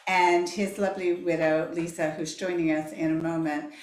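A woman's voice: on the low side, level tone, moderate pace, darkish tone. British woman hosting in an echoey room. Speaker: host. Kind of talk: event presenting. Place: indoor parlour with fireplace. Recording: roomy, noisy parlour pickup.

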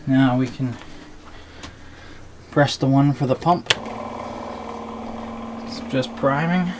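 Casual man over clear background noise. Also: medium pitch, takes it slowly. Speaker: DIY video host. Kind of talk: casual how-to narration of a trailer plumbing setup.

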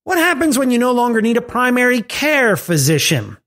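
Animated man reading a podcast script. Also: very high-pitched, a little fast. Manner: reads from a script with lively rising and falling emphasis. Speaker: podcast host. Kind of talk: scripted tech podcast narration.

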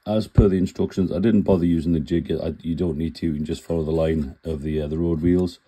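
A deep-voiced man talks through his model build in a chatty, plain-spoken way, often stopping to correct himself. He has a cold, and his very dark, mellow tone sits low. He sounds like he is recorded indoors at his workbench. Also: steady tone, quick pace, breathy edge. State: full of cold. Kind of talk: casual build tutorial.

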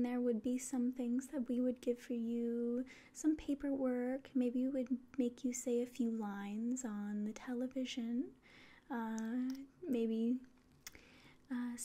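A young woman whispers slowly and breathily in an ASMR roleplay as a calm, faintly condescending alien official. Her voice is high and mellow, with gentle pauses as she addresses the listener up close. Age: young adult. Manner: slow, gentle, hesitant pauses. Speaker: ASMR performer. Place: small indoor room.

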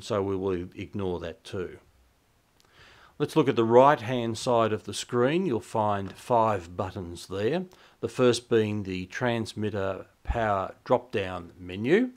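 An Australian man narrating an instructional software walkthrough in a measured, explanatory manner. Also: lowish voice. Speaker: shortwave radio hobbyist, presenter.

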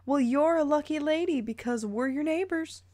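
Woman plays easygoing teenage boy, casual and friendly story character, fairly high-pitched. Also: moderate pace, darkish tone, natural voice.

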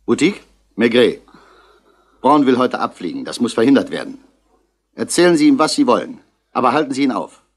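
An actor playing a stern, impatient police commissioner who interrogates brusquely, in the style of a 1960s crime radio drama. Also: male voice, medium pitch, sing-song, a little fast, long pauses, a little hiss or hum. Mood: urgent, commanding.

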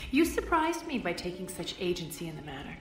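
Alien crime queen, commanding, sardonic, deliberate, fairly low voice, video game, slight room echo. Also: big pitch swings. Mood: sardonic, cool. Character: cold, commanding alien female crime boss. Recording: slightly roomy, music behind.

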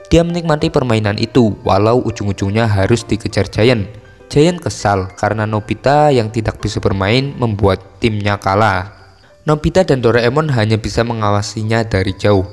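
A man's voice: on the low side, lively intonation, moderate pace, long reverb tail. A man with an Indonesian accent narrating a story recap in a casual, scripted style. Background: music.

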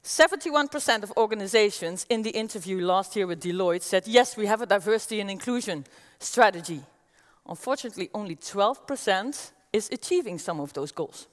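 A woman in her forties with a Dutch accent, giving an energetic conference keynote on a stage, talking through a headset mic. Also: medium pitch, fairly quick. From Netherlands. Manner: energetic, gestures as she walks the stage. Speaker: keynote speaker. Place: large auditorium stage with audience. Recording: long reverb of a large hall.

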